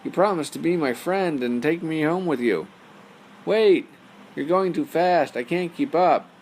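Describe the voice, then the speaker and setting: A man's voice: on the high side, expressive, a little slow, darkish tone, slight background noise, pitched above the performer's own voice. A man voicing a talking storybook frog who pleads and bargains insistently for friendship. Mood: desperate calling.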